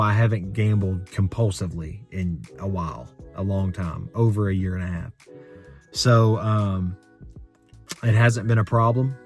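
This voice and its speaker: A young American man tells a long car story in a low, monotone voice, full of fillers and restarts. Faint music plays under his talk. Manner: rambling, filler-heavy, conversational. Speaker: car vlogger. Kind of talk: unscripted story time rant.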